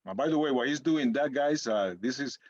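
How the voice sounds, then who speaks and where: Middle-aged dad co-host, meandering casual talk, muffled dry recording. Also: male voice, mid-range voice, fairly quick, darkish tone, dry recording. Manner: rambling, searching for words. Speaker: podcast co-host, father. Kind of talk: casual chat. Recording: dull lo-fi sound, dry room.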